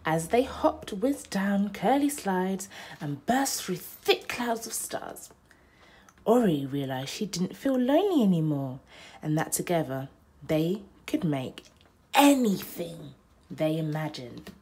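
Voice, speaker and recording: A young woman reads a bedtime story aloud in a lowish, mellow voice. She takes her time with deliberate, expressive phrasing for a child listener. There is faint noise behind her. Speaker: mother reading a picture book aloud.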